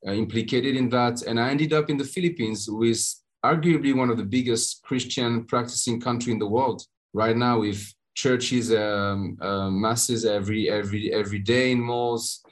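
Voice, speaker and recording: A man from France with a French accent, a podcast guest telling his story in a halting way with frequent pauses and restarts. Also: medium pitch, fairly even intonation. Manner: halting, many uh fillers and restarts. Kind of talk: conversational interview storytelling.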